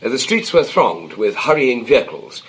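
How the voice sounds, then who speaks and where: A man's voice: medium pitch, sing-song, moderate pace, slightly rough, poor audio. An actor playing an irritable, self-important middle-aged novelist father in a period comedy, pompous and declamatory. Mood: grandly declaiming. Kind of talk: period stage comedy radio acting.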